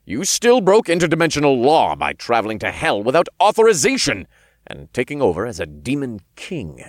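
A smug male archangel acted by a man speaks with formal, measured condescension. His stern negotiator's voice has a wide melodic range, recorded close and dry for a fantasy audiobook. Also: mid-range voice, wildly swooping pitch, no echo, pitched above the performer's own voice. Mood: disdainful.

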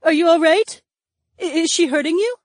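Woman playing an animated, earnest, fretful young woman. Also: fairly high pitch, normal speed, no echo, studio quality. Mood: worried. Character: caring, anxious young woman, earnest and precise. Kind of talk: audiobook character acting.

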